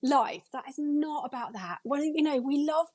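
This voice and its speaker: Female podcast guest, enthusiastic and encouraging, slightly high voice. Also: lively intonation, normal speed, darkish tone. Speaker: podcast guest, energy healer. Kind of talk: casual podcast chat.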